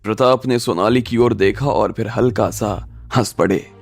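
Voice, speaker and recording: A man's voice: lowish voice, measured pace, vocal fry, faint music behind. A man narrating a scripted audio drama story.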